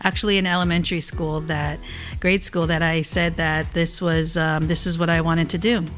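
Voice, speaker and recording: A woman telling stories as an interview guest, reflective and halting, often repeating words as she goes. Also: deep voice, flat delivery, soft background music. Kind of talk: casual interview storytelling.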